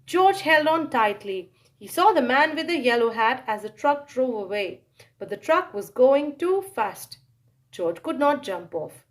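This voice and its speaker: A woman with a high voice narrates a children's storybook. Her delivery swings widely in pitch, with dramatic pauses and animated exclamations as she reads aloud.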